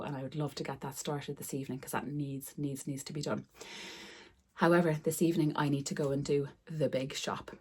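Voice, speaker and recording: A woman's voice: low-pitched, a little mellow, husky. A middle-aged woman who is losing her voice, chatting casually to camera at home, often repeating words for emphasis. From Ireland. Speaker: sewing vlogger. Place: room upstairs at home.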